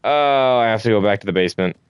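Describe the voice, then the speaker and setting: A man's voice: on the low side, fast, clear, resonant tone, no echo. A man giving casual gameplay commentary, thinking aloud and muttering to himself with the odd swear word. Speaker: gamer recording a playthrough.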